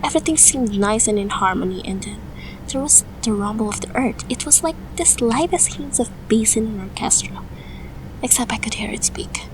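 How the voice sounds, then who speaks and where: A woman's voice: on the high side, animated, moderate pace, background noise. A woman playing a stubborn, weary teenage girl mage in an audio drama, defensive and given to sighing. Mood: flustered.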